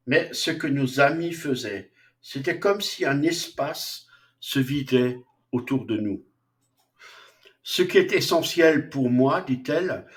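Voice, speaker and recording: Slow-talking hesitant male lecturer. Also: a little high. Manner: slow, hesitant, frequent fillers and restarts. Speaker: philosophy lecturer. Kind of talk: unscripted lecture.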